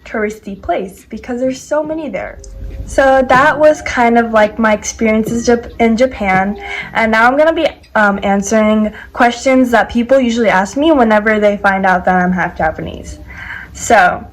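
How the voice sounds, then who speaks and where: A woman's voice: a little high, moderate pace, poor audio. A teenage girl from the United States chatting casually to camera, with lots of "like" and "um" fillers. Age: teens. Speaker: vlogger. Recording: faint background music.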